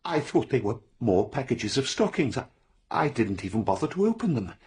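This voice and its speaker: A man's voice: medium pitch, sing-song, moderate pace, a little mellow, slight background noise, close to an everyday voice. A man playing a troubled, timid war veteran in a radio drama, speaking hesitantly with stammered repeats. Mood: aggrieved, desperate.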